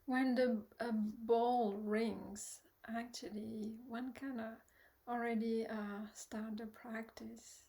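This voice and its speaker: A woman teaching guided meditation, speaking gently and hesitantly with long pauses, her soft voice often trailing into breath. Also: on the high side, little pitch movement, gravelly.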